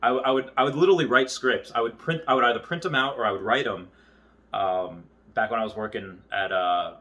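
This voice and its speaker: A man in his early thirties talking casually and off the cuff to viewers, rambling and often halting to circle back on his thoughts. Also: mid-range voice, sharp tone. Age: early 30s. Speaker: YouTuber. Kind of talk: casual unscripted monologue to viewers.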